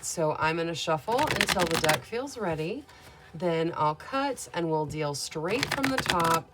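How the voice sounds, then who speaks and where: Calm woman with a scratchy voice. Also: fairly low pitch. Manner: calm, soothing, measured delivery. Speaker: tarot reader. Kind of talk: gentle tarot reading monologue.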